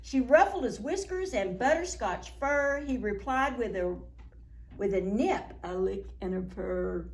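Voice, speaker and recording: A woman's voice: medium pitch, animated, measured pace, darkish tone. A woman reading a picture book aloud to preschoolers as a story time host, expressively, with asides and questions to her young listeners.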